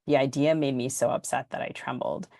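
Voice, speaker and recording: A woman's voice: a bass voice, fairly even intonation, brisk, a little mellow, no room sound, studio quality. A woman hosting a literary podcast, putting considered questions to a guest and quoting from a book. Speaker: podcast host.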